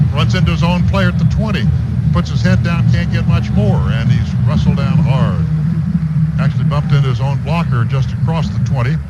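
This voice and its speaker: An American man with a fairly high voice does play-by-play for a football game on the radio. He draws words out as plays develop. The sound is dull, with clear stadium noise behind him. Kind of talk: live American football commentary.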